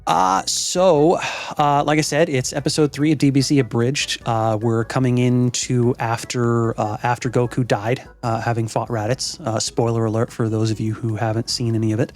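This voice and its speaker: A man's voice: medium pitch, fairly even intonation, normal speed, darkish tone, soft background music. A man hosting a reaction show, chatting casually and informally in a rambling way, with loose swearing. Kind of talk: casual show intro and chat.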